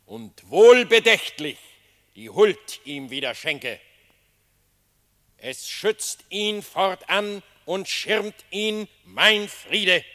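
An actor playing a solemn lion king in a classic radio play, declaiming verse to his animal court in a stately, deliberate manner. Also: on the high side, bright, forceful tone, exaggerated voice. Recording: long hall reverb.

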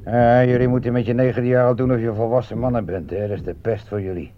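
An actor in a radio play playing a gruff, weary army sergeant with a blunt, commanding but fatherly way of talking. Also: mid-range voice, steady tone, fast talker, lightly acted. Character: gruff, weary army sergeant looking after his young soldiers. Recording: muffled, crackly old radio play recording.

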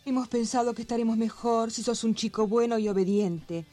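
An actress playing a bossy, coldly threatening temptress who gives commands in a radio drama. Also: female voice, a little high, fairly quick, mellow, soft background music, natural voice. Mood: cold threat.

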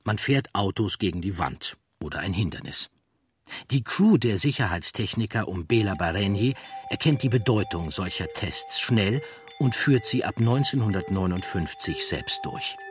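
A man reading scripted documentary narration in a measured, factual way. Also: on the low side, animated.

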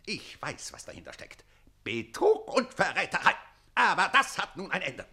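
Husky, explosive, imperious count. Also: male voice, sits high, huge pitch swings, normal speed, bright, forceful tone, heavily acted. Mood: scornful, vengeful. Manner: commanding, blustering. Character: imperious, jealous, hot-tempered count, lord of the castle. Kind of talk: theatrical radio play acting.

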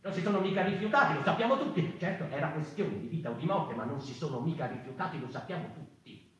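A man playing an agitated narrator of the mouse folk in a theatrical monologue, insistent and halting, repeating phrases with rhetorical asides. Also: on the high side, expressive, close to an everyday voice. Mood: musing, doubtful. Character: agitated mouse-folk narrator, by turns tender, scornful and defiant. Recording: echoey, noisy stage recording from far off.

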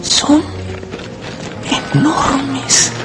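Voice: high voice, expressive, extremely slow, full character voice. An actor voicing a naive, fearful young male wolf cub in a radio drama. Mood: awed. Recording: music under the voice.

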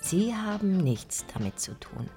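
A woman's voice: fairly low pitch, sing-song, measured pace, mellow, faint music behind. An actress playing a young female magazine publisher in a radio drama, polite, candid and direct. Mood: direct, pensive. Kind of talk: German radio drama dialogue.

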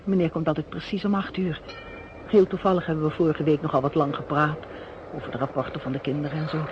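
A woman's voice: low-pitched, steady tone, fast talker, close to an everyday voice. An actress playing a polite, wary housewife in a radio play, flustered and hesitant, repeating her words. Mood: hesitant, respectful. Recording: dull, noisy old radio recording.

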